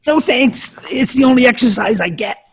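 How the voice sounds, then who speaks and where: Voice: mid-range voice, lively intonation, moderate pace, slightly rough. An adult performer voicing a nerdy, friendless schoolboy in an earnest, awkward, self-deprecating way for a sitcom table read. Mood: eager, awkward.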